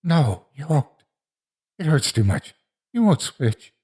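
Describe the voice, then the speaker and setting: A man's voice: medium pitch, sing-song, normal speed, a little mellow, breathy edge. A man voicing a frightened, tearful ten-year-old boy who pleads and bargains in a story. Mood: tearful distrust. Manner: anguished, pleading.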